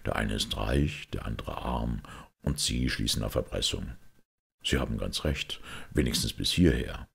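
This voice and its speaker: A man voicing a grave, thoughtful priest detective who explains things in a measured, sombre way in an audiobook. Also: extremely low pitch, gravelly, fairly bright tone, breathy edge, exaggerated voice. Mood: explaining. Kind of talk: audiobook character acting.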